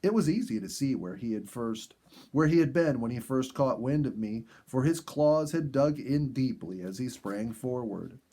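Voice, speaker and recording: A man reading a story aloud in an expressive, measured storybook narration style. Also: mid-range voice. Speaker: audiobook reader and host.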